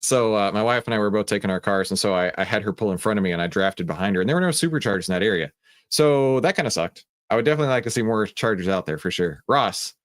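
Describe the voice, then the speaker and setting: A man hosting a live stream in a casual, conversational way, explaining emphatically, posing questions and often checking in with a tag question. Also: on the low side. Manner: conversational, often tags statements with "right?"; emphatic, explanatory, poses questions and answers them. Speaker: host of a live stock trading stream. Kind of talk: casual live market commentary, chatting with viewers.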